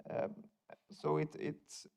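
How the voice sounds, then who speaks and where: A man's voice: takes it slowly, darkish tone. A young man from Finland whose first language is Swedish, giving a conference talk as a researcher, hesitant, with many fillers and repeated words.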